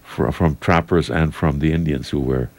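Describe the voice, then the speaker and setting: A man from Germany co-hosting a casual radio chat, halting and repeating words, laughing easily. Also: extremely low pitch, steady tone, vocal fry, a little mellow. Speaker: radio co-host.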